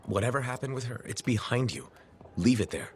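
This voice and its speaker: An actor voicing a supportive video game freelancer hero in a plain-spoken, measured, reassuring way. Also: male voice, medium pitch, lively intonation, slight background noise, natural voice. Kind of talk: video game character dialogue.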